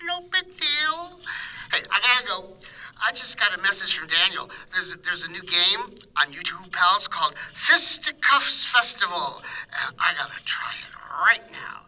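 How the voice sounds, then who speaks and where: Actor as goofy, sharp, high-voiced chatty buddy. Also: male voice, big pitch swings, a little slow, exaggerated voice, poor audio. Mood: reassuring, upbeat. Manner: rambling, stammering. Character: Murph, goofy, chatty, oblivious male pal on the phone. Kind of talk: live radio play acting.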